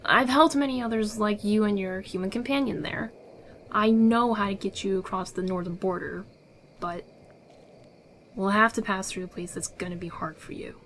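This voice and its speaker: A secretive rebel guide acted by a woman in a fantasy audio roleplay. She sounds sure of herself and persuasive, with some theatrical flair at a medium pitch. Faint noise and night crickets are behind her. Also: normal speed, fairly bright tone, lightly acted. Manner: assured, persuasive, theatrical delivery. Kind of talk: ASMR audio roleplay acting.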